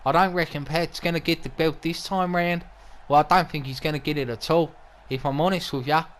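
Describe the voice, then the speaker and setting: A man's voice: steady tone, fairly quick, a bit bright, lightly acted. A man with an Australian accent playing a whiny, mouthy wrestling manager and commentator who swears a lot and gets defensive. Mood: casual. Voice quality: whiny, lacking bass. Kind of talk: wrestling commentary with in-character banter.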